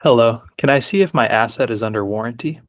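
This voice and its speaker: A man running a live software demo on a video call, speaking in short plain requests. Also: medium pitch, fast. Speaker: product demonstrator.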